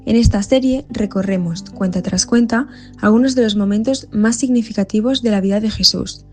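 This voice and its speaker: Female podcast presenter: mid-pitched, scripted reflective read, faint musical bed. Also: moderate pace, soft background music. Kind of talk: scripted narration, episode introduction.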